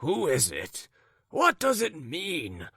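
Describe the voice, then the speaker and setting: A man voicing a very tall, haughty gentleman who speaks with a husky voice and asks slow, demanding questions. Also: on the high side, huge pitch swings, no room sound, studio quality, pitched above the performer's own voice, heavily acted. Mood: impatient. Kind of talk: audiobook character voice.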